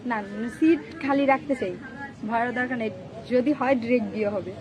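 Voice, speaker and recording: A woman's voice: a little high, moderate pace, noisy background. A twenty-year-old woman with a Bangladeshi accent, answering casual interview questions about her personal life. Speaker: interview guest.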